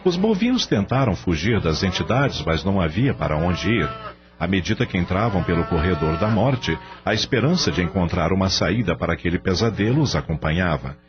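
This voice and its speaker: A man's voice: low voice, sing-song, fairly quick. A man narrating a radio drama from a script in a dramatic storytelling style. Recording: dull sound under clear music bed.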